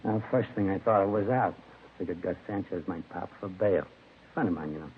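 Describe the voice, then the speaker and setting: A deep-voiced American laborer played by a male actor sits hungover in the tank, rambling and aggrieved in street slang. It sounds like vintage radio drama, narrow and phone-like with faint noise. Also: a little fast, close to an everyday voice. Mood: groggy, recollecting. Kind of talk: 1950s radio crime drama acting. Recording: thin, faintly noisy vintage radio recording.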